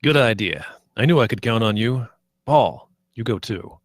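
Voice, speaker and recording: A man's voice: lowish voice, expressive, moderate pace, no echo, studio quality, lightly acted. A man playing a grumpy, exasperated veteran spaceship captain in a comedy table read. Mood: commanding. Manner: exasperated, sighing.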